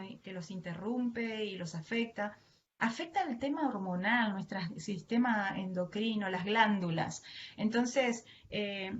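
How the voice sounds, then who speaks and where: A woman with an Argentine Spanish accent giving a devotional teaching to other women, addressing her listeners earnestly and affectionately. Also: medium pitch. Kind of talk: devotional sermon to an online audience. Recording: dull lo-fi online audio, faint noise.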